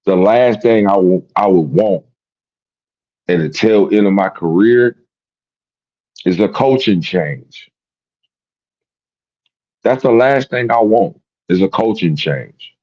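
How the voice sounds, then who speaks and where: A man's voice: lowish voice, lively intonation, takes it slowly, pauses often, highs cut off. A man hosting a sports talk show, rambling and repeating himself in casual, profane commentary. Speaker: sports podcast host.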